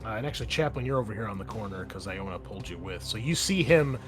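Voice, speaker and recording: A man's voice: on the low side, animated, fast talker. A man narrating a tabletop roleplaying game as game master, conversational and explanatory. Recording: music playing behind the voice. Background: background music.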